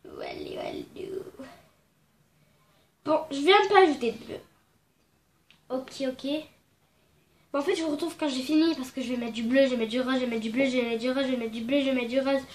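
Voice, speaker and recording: High-voiced young woman with a cold. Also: very animated, brisk, pauses often. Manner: chatty, rambling, playful. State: stuffy nose from a cold. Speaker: craft vlogger. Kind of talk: casual craft tutorial. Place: at home indoors. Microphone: phone camera.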